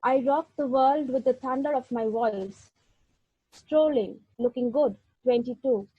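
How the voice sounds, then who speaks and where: A woman giving an illustrated lecture, reading verse aloud and narrating in a measured, deliberate way with occasional slips. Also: high voice, husky, no room sound. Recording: dull, lo-fi sound.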